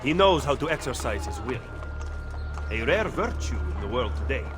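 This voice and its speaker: An actor playing a shrewd, skeptical Italian political advisor in a game cutscene, with measured, formal, pointed speech and an Italian accent. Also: male voice, mid-range voice, very animated, lightly acted. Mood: grave. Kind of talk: video game cutscene acting. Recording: game music behind the voice. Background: music.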